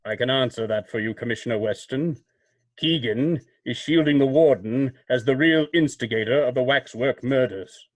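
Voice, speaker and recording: An actor playing a knowing crime-fighting avenger in old-time radio drama style, speaking in a measured, formal, commanding way. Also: male voice, mid-range voice, no room sound, close to an everyday voice, rough recording. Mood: accusing, assured. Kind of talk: 1940s radio drama acting.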